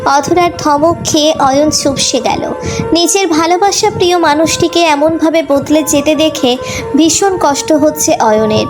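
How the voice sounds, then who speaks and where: A woman's voice: sits high, a little slow, rough recording. A woman storyteller reading a scripted romantic story as narration. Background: background music.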